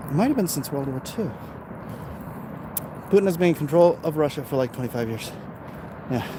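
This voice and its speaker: An American man talking off the cuff in a casual, opinionated, emphatic way, like a vlogger chatting to viewers. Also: a little high. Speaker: live-stream vlogger reading and answering viewer comments. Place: outdoors, in his backyard. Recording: noisy outdoor urban ambience, traffic sounds.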